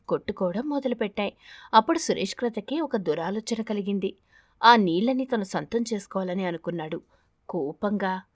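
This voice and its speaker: Woman reading a kids cartoon bedtime story, highish voice, scripted narration, dry recording. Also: no room sound. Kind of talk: scripted narration of children's animated moral stories.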